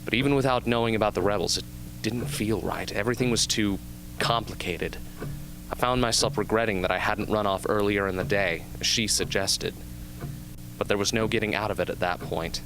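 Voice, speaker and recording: A man playing a young, haunted but earnest arena survivor with intense, deliberate delivery in an amateur radio drama. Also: on the low side, over music, lightly acted, low-quality recording. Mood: haunted, reflective. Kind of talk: amateur radio drama acting, interview scene.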